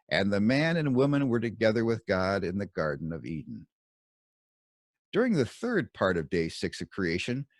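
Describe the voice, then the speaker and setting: A man reading his own scripted Bible commentary as narration, in measured, deliberate short phrases. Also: fairly low pitch, no room sound, studio quality.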